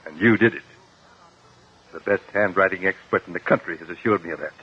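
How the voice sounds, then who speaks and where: An actor playing a stern, principled older uncle and guardian, firm and admonishing, in 1950s radio drama style. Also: male voice, sits low, fairly quick, breathy edge. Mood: grave. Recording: dull lo-fi old radio recording.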